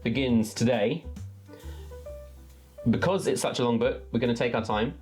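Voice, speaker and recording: A man's voice: medium pitch, moderate pace, a little mellow, faint music behind. A man hosting a book channel, chatting casually and earnestly to viewers with enthusiasm.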